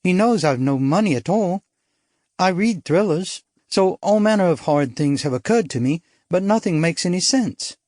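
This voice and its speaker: A man's voice: high-pitched, expressive, moderate pace, darkish tone, no room sound, clean studio sound, voice pushed up. A man voicing a witty, daring young upper-class Englishwoman who is an actress, with an arch, playful, theatrical manner.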